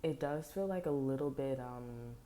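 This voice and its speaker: Very low-voiced woman, soft and rambling. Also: normal speed. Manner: rambling, many fillers and restarts, soft and low. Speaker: tarot reader. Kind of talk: casual spiritual talk to viewers. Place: home at night. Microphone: headphones with mic.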